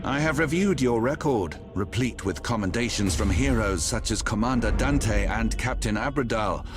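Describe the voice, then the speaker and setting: A man's voice: medium pitch, lively intonation, normal speed, natural voice. Man playing an imperious, dramatically swooping inquisitor. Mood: stern disdain. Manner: haughty, theatrical, commanding. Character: imperious, ruthless male inquisitor, coldly menacing. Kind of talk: video game cutscene acting. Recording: music behind the voice.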